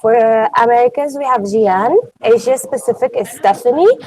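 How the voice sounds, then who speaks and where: Higher-voiced woman from Egypt presenting. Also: a little mellow, low-quality recording. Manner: brisk, listing names and roles. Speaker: committee assistant presenting. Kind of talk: online presentation.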